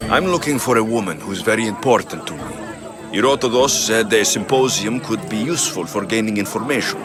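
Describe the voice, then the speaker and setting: A man voicing a proud young Greek warrior in a game drama, low in pitch with lively swings. He talks bluntly and tersely over a clear musical score. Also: brisk, music in the background, close to an everyday voice. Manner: terse, direct. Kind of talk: dramatic video game acting.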